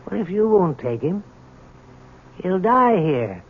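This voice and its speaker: An actor playing a humane, persuasive prison doctor with a hesitant, coaxing manner in an old-time radio drama. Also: male voice, high-pitched, very animated, slow pace, husky, narrow, tinny audio, exaggerated voice. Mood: earnest persuasion. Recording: thin, noisy old radio broadcast.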